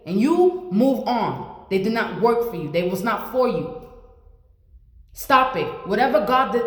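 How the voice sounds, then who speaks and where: A woman in her late twenties giving blunt, repetitive advice to the camera as a vlogger. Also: mid-range voice. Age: 20s. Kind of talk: motivational talk to camera. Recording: echoey room with long reverb.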